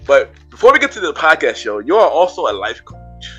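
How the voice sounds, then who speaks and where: A man's voice: fairly high pitch, big pitch swings, brisk, fairly bright tone, slightly rough, faint music behind. A man hosting a podcast interview, repeating phrases and stammering, encouraging his guest. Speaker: podcast host. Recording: dull lo-fi sound.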